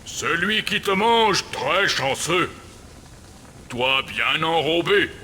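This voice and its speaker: A slow, growling cannibal chief. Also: male voice, on the high side, expressive, pushed and bright, slight background noise, exaggerated voice. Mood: leering. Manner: broken pidgin speech, growls, slow deliberate delivery. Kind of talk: French dub of game cutscene.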